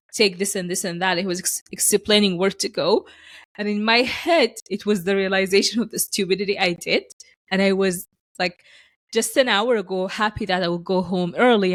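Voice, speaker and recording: A woman hosting a podcast, telling stories in casual conversation with lively restarts. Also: mid-range voice. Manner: animated storyteller, acts out quoted voices; frequent restarts and fillers. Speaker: podcast host.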